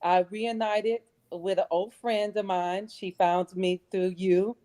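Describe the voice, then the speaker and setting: A woman with a middling pitch joins an online audition from her phone. She speaks earnestly and politely, as an amateur contestant addressing a host and viewers. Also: fairly even intonation, slow, mellow. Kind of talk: casual chat, then motivational recitation. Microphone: phone on a streaming call.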